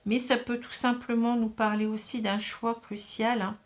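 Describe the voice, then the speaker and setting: A woman's voice: on the high side, level tone, normal speed. A woman who has a cold, reading tarot cards in a casual, hesitant way, full of fillers and tag questions. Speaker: tarot reader.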